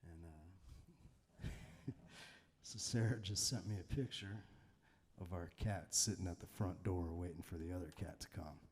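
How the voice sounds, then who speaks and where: A man chatting casually between songs on a live stream from his garage, soft-spoken and rambling, with plenty of fillers. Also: sits low, measured pace, slightly rough. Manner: rambling, filler-heavy, laid-back storytelling. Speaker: musician hosting a live stream. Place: home garage.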